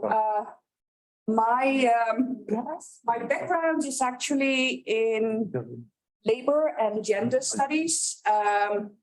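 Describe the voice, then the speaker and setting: Dutch woman, middle-aged, guest lecturer; measured, halting delivery with frequent fillers. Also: on the high side, poor audio. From Netherlands. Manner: unhurried with many ums and uhs. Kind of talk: university lecture.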